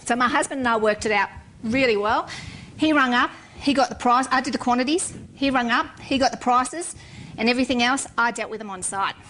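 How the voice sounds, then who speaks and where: Woman, middle-aged, fairly high voice, blunt and joking, faint room hiss. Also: a little fast, fairly bright tone, rough recording. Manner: frank and wry, with blunt humor. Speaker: civil engineer giving a talk. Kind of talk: informal talk to an audience.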